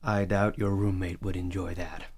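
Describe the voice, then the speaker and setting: A man playing a gentle, doting father in an audio drama, tender and softly chiding. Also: deep voice, level tone, moderate pace. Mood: gently chiding. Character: gentle, doting middle-aged father of a teenage girl.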